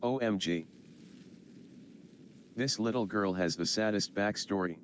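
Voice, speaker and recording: A man casually reacting during a game livestream with deadpan, joking asides, spelling out chat slang. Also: fairly low pitch, unhurried, a little hiss or hum. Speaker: streamer. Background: game music and dialogue.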